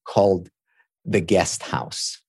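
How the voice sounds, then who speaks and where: A low-pitched man whose first language is Hebrew, talking as an interview guest. He explains in an earnest way, builds his points with examples and circles back on words while he thinks. The sound is dry and close. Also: fairly even intonation, slow, darkish tone, husky, no room sound. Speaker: guest expert. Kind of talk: thoughtful interview talk.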